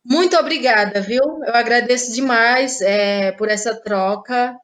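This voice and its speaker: A woman from Ceará, a playwright speaking as a guest in a relaxed interview, laughing often and trailing off in pauses. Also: fairly high pitch. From Ceará, Brazil. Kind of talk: casual interview chat.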